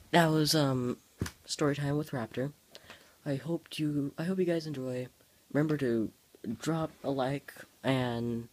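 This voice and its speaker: A male host reading his own homemade comics in a casual story-time vlog, halting, informal and self-deprecating, recorded on a handheld phone. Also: medium pitch, a little mellow.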